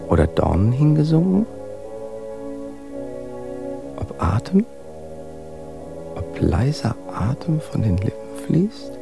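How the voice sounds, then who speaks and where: Halting, anguished Romantic poet, swooping and whispering. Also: male voice, mid-range voice, vocal fry, full character voice. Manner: halting, searching, breaking into whispers. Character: anguished Romantic poet groping through his own love verses. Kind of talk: radio drama verse recitation.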